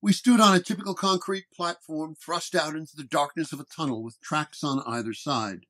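A man reading a scripted first-person detective horror story in a measured storytelling manner. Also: fairly high pitch, fairly bright tone. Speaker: narrator.

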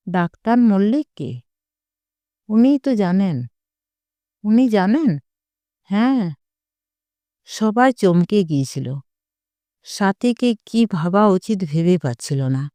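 A woman reading a literary short story as a scripted narration, in a measured, deliberate storytelling manner. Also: medium pitch, dark tone, smooth, dry recording.

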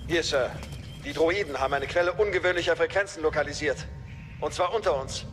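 An actor playing a dutiful, businesslike soldier delivering crisp military reports in a radio drama. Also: male voice, a little high, a little fast, fairly bright tone, soft background music, natural voice. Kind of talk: German radio drama acting. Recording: long hall-like reverb.

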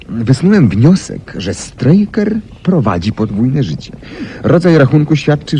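A low, mellow man's voice as a self-assured master detective in a 1970s radio play. He lays out deductions theatrically, with big swings of pitch and deliberate pauses, on a slightly noisy old recording. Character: brilliant, self-assured male detective explaining his deductions. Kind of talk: 1970s Polish radio drama acting.

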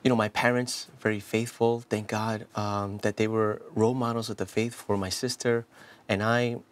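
A man in his thirties from South Korea speaks as a priest guest in a television studio. His voice sits slightly low, and he talks earnestly, often restarting phrases and filling pauses with "you know." A lapel mic picks him up clean and close. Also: little pitch movement. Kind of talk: interview, telling his life story.

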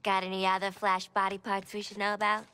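A woman's voice: medium pitch, monotone, quick pace, pushed and bright, clean tone, close to an everyday voice. A woman voicing a brash, wisecracking female criminal antihero in a video game, sassy and theatrical, with music behind her. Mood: teasing.

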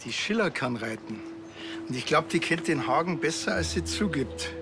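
An actor playing an unimpressed village police officer with a Bavarian accent in a crime comedy. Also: male voice, on the high side, animated, moderate pace, over music. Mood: dry. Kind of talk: television crime comedy acting.

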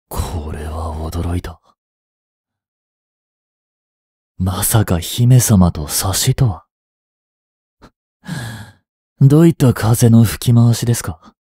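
A young man in his twenties playing a treacherous, smooth-tongued captive prince and spy in a Japanese audio drama, with courteous, theatrical speech that can turn mocking. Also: low-pitched, dark tone, no echo, clean studio sound, full character voice. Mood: weary, wry. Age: 20s. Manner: slow, theatrical, courteous speech slipping into taunts. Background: background music.

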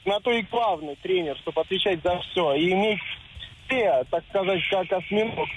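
A man's voice: high voice, normal speed, slight background noise, lightly acted, rough recording. A man playing a proud, aggrieved goalkeeper in an improvised comic radio sketch, arguing with sarcastic needling. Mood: reproachful. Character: aggrieved, proud star male national team goalkeeper.

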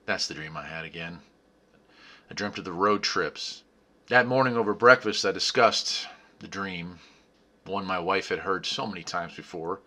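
A man's voice: low voice, normal speed. A man in his forties hosting a car restoration vlog, talking to camera outdoors and then narrating a personal story. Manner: upbeat on camera, then quiet storytelling voice-over. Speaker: restoration channel host. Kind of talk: vlog intro and reflective narration. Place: outdoors by a garage on gravel.

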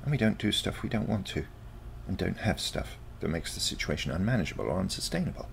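A confident teenage granddaughter from a storybook future, acted out by a male reader. The delivery is lively and swooping with heavy creak, yet matter-of-fact and unconcerned. There is clear noise behind it. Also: low-pitched, quick pace, voice pushed up, full character voice. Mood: earnest. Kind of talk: storybook character dialogue.

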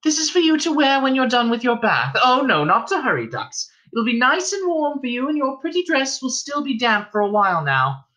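A man's voice: very high voice, big pitch swings, moderate pace, hardly any pauses, pushed and bright, voice pushed up, exaggerated voice. A man voicing a scheming, motherly innkeeper's wife who coos and coaxes with false sweetness. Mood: cooing, falsely sweet. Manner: coaxing, wheedling, sweetly sinister.

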